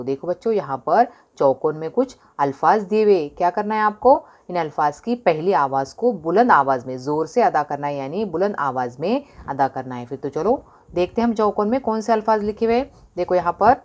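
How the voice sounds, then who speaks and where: A woman with a slightly low voice and an Indian Urdu accent teaches small children in a recorded lesson. She asks a question, then answers it herself. She draws the words out slowly and repeats them. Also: a little mellow. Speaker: primary school Urdu teacher. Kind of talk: online lesson for young children.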